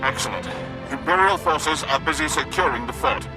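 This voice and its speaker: A man playing an efficient, obedient Imperial general in a game, giving brisk military reports. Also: a little high, music in the background, lightly acted. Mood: brisk, businesslike. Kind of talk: video game cutscene acting.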